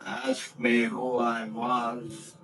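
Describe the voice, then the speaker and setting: Slow hushed ghost voice, very drawn out, old radio drama with music behind. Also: male voice, medium pitch, sing-song, creaky, heavily acted. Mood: solemn. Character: solemn ghost of a dead old businessman. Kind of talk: vintage radio drama acting.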